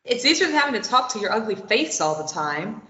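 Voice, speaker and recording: A woman's voice: a little high, big pitch swings, brisk, sharp tone. A woman reads a bitter young woman who snaps back in short, curt retorts. The recording is dull and lo-fi, and the voice sits in an echoey room. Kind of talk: table read of a stage play.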